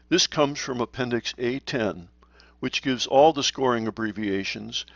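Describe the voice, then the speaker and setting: A man narrating scripted slides as an instructor, in a measured, explanatory manner. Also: medium pitch, vocal fry, fairly bright tone, no room sound, dull audio. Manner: measured, instructional, poses questions to the learner. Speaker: sailing instructor.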